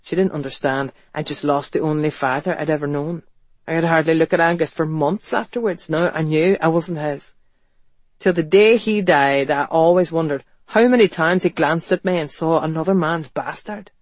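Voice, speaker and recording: A man acts out a gruff, wary man from a period novel. He is terse and plain-spoken, and the audiobook sound is close and very dry. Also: fairly high pitch, normal speed, no room sound, lightly acted. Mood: brooding. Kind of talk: audiobook character dialogue.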